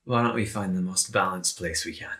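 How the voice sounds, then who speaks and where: A man's voice: sits low, a little fast, sharp tone. A man chatting casually as a player in a tabletop roleplaying game. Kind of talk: casual chat.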